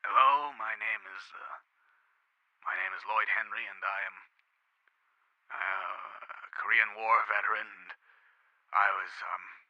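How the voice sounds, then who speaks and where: A man playing a doddering old war veteran, halting and stammering as he gives a comic dramatic reading of a Christmas poem. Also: lowish voice, steady tone, pushed and bright, no echo, highs cut off. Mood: hesitant. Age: older. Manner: halting, stammering, throat-clearing, wandering off on tangents.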